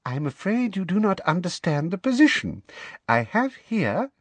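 A man voicing a cruel woman official with a high girlish voice and cold, precise diction. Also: big pitch swings, moderate pace, no room sound, exaggerated voice. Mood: gloating. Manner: sweetly cold, precise, gloating.

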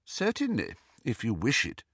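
A man's voice: medium pitch, wildly swooping pitch, measured pace, fairly bright tone, dry recording, studio quality, pitched above the performer's own voice, exaggerated voice. A man voicing a stern, dignified female professor of witchcraft, precise, formal and icily impatient, in an audiobook. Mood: cold.

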